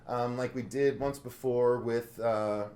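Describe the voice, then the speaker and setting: A man's voice: medium pitch, fairly even intonation, slow pace, darkish tone, reverberant. A young adult man hosting a podcast in casual, joking chat, often cutting in with questions. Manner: upbeat, joking, interrupts often. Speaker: podcast host.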